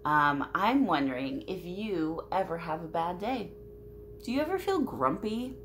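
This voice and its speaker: A woman with a slightly low voice reads to young children. She is very animated and takes her time, speaking directly to her listeners. Faint noise sits behind the home recording. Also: unhurried. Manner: expressive, addressing young listeners with simple questions. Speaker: children's storybook reader and host. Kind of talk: picture-book read-aloud with chatty asides to children.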